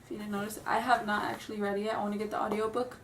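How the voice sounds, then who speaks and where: A woman's voice: mid-range voice, little pitch movement, racing, noisy background. A young woman who sounds stuffy from a cold, chatting nervously and rambling in a casual vlog. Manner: nervous, rambling, apologetic, giggly. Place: room at home. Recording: roomy, distant home camera audio.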